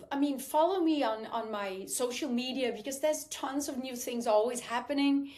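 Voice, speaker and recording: A woman whose first language is Danish speaks in a somewhat high voice as a guest on a podcast. She talks about her music in an earnest, heartfelt way and often restarts her sentences. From Denmark. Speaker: singer-songwriter guest. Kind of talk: podcast interview.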